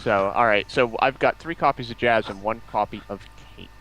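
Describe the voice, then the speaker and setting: Guy with a lowish voice, casual stream banter, keeps restarting his words. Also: a bit bright, rough recording. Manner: halting, repeats words as he starts sentences. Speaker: guest on a gaming livestream.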